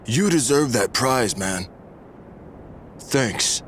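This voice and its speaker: A man's voice: medium pitch, animated, very slow, a bit bright, a little hiss or hum. An actor playing a humbled male fighter and former champion in a video game, speaking earnestly.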